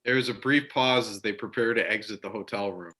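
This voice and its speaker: A man's voice: lowish voice, fairly quick, sharp tone. A man giving scripted narration, reading stage directions for an amateur readers theater play.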